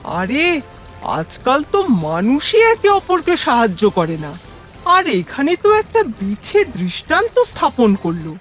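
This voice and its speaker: An elderly man acted by a woman from a children's cartoon fable, curious and well-meaning. He muses aloud slowly and emphatically, with music behind him. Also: sits high, wildly swooping pitch, full character voice. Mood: amazed, moved.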